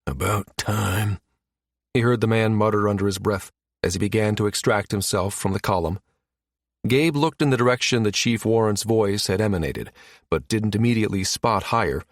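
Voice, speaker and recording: A man's voice: on the low side, dry recording, studio quality. A man reading a science fiction audiobook as scripted narration.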